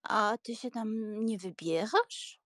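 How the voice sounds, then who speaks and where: A woman playing a doting, scheming elderly aristocratic aunt in an audiobook drama, coaxing and expressive. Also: mid-range voice, slow pace, dry recording, clean studio sound.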